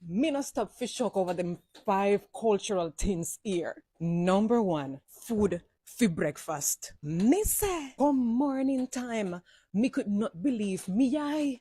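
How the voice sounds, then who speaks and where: A woman's voice: medium pitch, lively intonation, slow pace, warm tone, breathy edge, dry recording. A middle-aged woman from Cuba, speaking with a Jamaican accent and patois, telling a numbered list of stories. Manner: storytelling in patois, counting a list.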